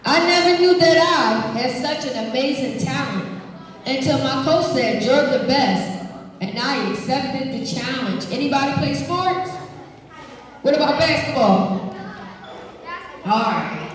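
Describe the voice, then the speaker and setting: Woman with a high voice reading a picture book to kids, hyping them up, heard from far off in a big echoey hall. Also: measured pace, long pauses. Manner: energetic, projecting, engages the audience with questions. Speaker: children's author reading her book aloud to a school audience. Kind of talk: live read-aloud, call-and-response with children. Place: large echoey room at an elementary school. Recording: very echoey hall, distant mic.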